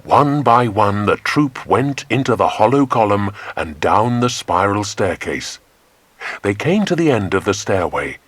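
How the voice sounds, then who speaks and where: A man with an English accent narrating a children's adventure story in a measured, dramatic storytelling manner. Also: on the low side, big pitch swings, a bit bright, no echo. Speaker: audiobook narrator.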